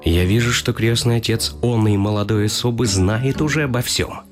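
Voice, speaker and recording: A man's voice: on the low side, moderate pace, soft background music, close to an everyday voice. An actor playing a shy, lovestruck young man who muses nervously and formally in a radio comedy. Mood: uneasy. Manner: introspective, formal asides. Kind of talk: theatrical radio comedy acting.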